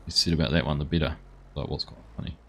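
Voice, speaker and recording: A man's voice: a bass voice, fairly even intonation, quick pace, gravelly, bright, forceful tone, a little hiss or hum. A man chatting casually while live-streaming a farming game, thanking viewers and answering their messages.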